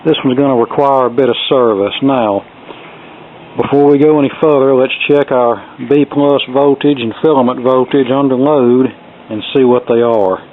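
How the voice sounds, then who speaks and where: A man's voice: mid-range voice, fairly even intonation, moderate pace. A man giving a casual, unscripted hands-on demonstration as a repair hobbyist, explaining things in a matter-of-fact, rambling way. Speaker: vintage radio repair hobbyist presenting a video. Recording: thin phone-like sound, clearly noisy.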